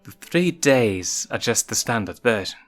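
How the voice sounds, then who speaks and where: A man voicing a calm, motherly adult lioness queen who explains things patiently in a story. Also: medium pitch, a little slow. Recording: music behind the voice.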